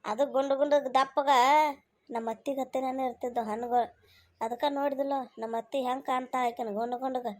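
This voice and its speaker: A woman's voice: high-pitched, fairly even intonation, fairly quick, lightly acted. A woman voicing a cheeky, know-it-all young daughter-in-law in a cartoon comedy, explaining and nagging teasingly with a North Karnataka accent.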